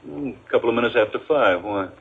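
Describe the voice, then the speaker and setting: An actor playing an easygoing, affectionate suburban husband and father in a 1950s radio drama, relaxed and colloquial. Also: medium pitch, quick pace, creaky, breathy edge. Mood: concerned. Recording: muffled, hissy vintage radio recording.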